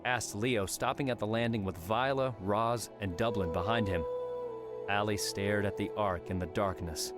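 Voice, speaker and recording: A man narrates an audiobook with a measured, dramatic delivery. His voice sits low, and a music score plays clearly behind him. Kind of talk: scripted narration.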